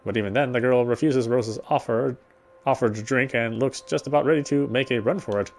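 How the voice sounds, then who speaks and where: Medium-pitched man narrating a story game. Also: a little fast. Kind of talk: first-person story narration, read aloud. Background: faint music.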